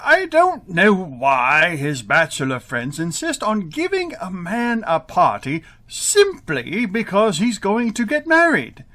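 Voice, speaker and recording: An actor performs a grouchy middle-aged man, a school principal in a radio comedy. He talks slowly and formally, with pompous swings of pitch. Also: bright, forceful tone, exaggerated voice. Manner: pompous, formal, hesitant when cornered. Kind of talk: old-time radio sitcom acting.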